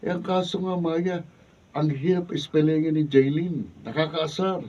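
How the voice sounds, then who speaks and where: A man's voice: fairly high pitch, steady tone, normal speed, dark tone, lightly acted. A Filipino man playing a blunt, irritable young friend in a radio drama. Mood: exasperated. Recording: muffled, distant mic, noisy room.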